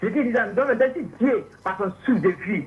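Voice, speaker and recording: A man calling in by phone to argue religion, haltingly repeating his words over and over on a dull line. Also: sits high, animated, a little hiss or hum. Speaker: phone caller. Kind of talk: religious debate.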